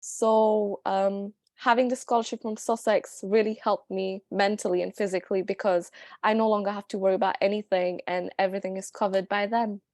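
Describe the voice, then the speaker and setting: A woman giving a sincere, slightly halting personal speech to an audience. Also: medium pitch, little pitch movement, a little mellow, dry recording, clean studio sound. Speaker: student speaker.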